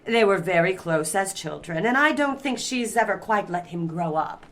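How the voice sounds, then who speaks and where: A woman's voice: mid-range voice, lively intonation, moderate pace, a bit bright. A woman playing a wealthy middle-aged society mother in an audio drama, formal, genteel and condescending. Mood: dismissive, embarrassed. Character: wealthy, haughty society mother, dismissive and proper.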